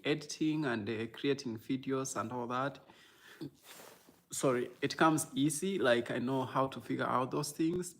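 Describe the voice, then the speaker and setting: Creaky-voiced Kenyan man chatting casually. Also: medium pitch, a bit bright, slight background noise. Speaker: content creator and livestream host. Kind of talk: casual livestream chat answering viewers.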